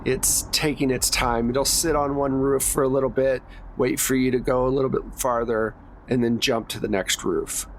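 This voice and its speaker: A man's voice: mid-range voice, steady tone, normal speed, slight background noise. A man running a horror tabletop game as game master, describing scenes in a casual, unscripted way.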